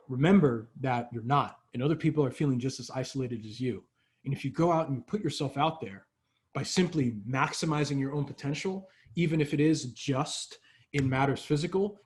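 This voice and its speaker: A man's voice: mid-range voice, a little mellow. A man speaking earnestly in a casual motivational talk, with frequent fillers and restarts. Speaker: workshop speaker.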